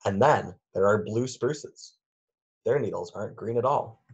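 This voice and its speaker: A man's voice: lowish voice, unhurried, vocal fry, slightly rough, dull audio. A man reading a children's picture book aloud in simple phrases, with lilting questions for young listeners.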